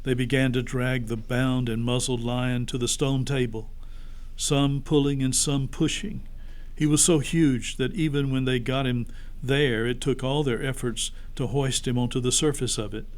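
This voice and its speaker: A man's voice: medium pitch, fairly even intonation, normal speed. A man narrating a story expressively, as a pastor reading aloud to children.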